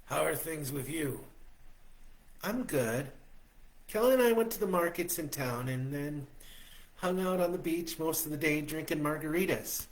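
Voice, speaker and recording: A man voicing a caring, anxious woman friend in a higher voice, fretful and insistent. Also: animated, measured pace, poor audio. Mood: concerned.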